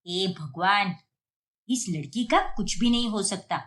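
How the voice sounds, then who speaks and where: Woman voicing a bossy, scolding mother-in-law, lower-pitched. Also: animated, measured pace, fairly bright tone. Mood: exasperated. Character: stern, scolding middle-aged Indian mother-in-law. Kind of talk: Hindi moral-story cartoon voice acting.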